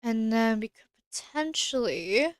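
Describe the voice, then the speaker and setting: A woman's voice: a little high, expressive, measured pace, clear, resonant tone, dry recording, clean studio sound. A woman streamer chatting casually while she plays a game, thinking aloud and answering her chat. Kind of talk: casual live-stream gameplay commentary.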